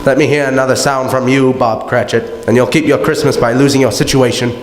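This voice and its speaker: Man acting a curt, fast-talking old miser in an echoey hall. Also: medium pitch, fairly even intonation, natural voice. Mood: irritated, scornful. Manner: curt, scornful, dismissive. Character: cold, miserly, grumpy old businessman. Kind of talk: stage radio play acting. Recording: echoey hall, faint noise.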